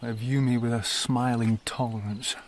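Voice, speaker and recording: A man plays a cynical, worldly colonial gentleman in a radio play. His mid-pitched voice is wry. Outdoor ambient noise with insects is audible behind him. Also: little pitch movement, normal speed, lightly acted. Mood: teasing, wry. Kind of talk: radio drama acting.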